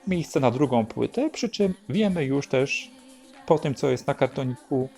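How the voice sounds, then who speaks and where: Mellow man with a creaky edge. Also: medium pitch, animated, measured pace, faint music behind. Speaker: host presenting a record collection. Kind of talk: unhurried show-and-tell narration.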